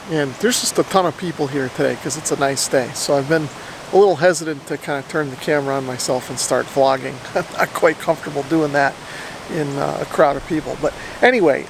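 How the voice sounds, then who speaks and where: A man's voice: on the high side, gravelly, noisy background. A man narrating a casual vlog in an informal, explanatory way, thinking aloud as he goes. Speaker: vlogger, radio hobbyist.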